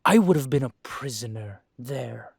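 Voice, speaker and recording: A man voicing a young adult male werewolf, an estranged son who is defensive and firm, then quiet and conciliatory. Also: mid-range voice, expressive, moderate pace, gravelly, husky, no room sound. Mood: defiant. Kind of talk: audio drama acting.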